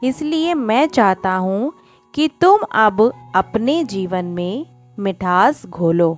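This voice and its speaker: A woman's voice: medium pitch, expressive, slow pace, faint music behind, voice pushed down. A woman voicing a kindly, grave male professor in a moral story, speaking patiently and instructively. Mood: grave, advising.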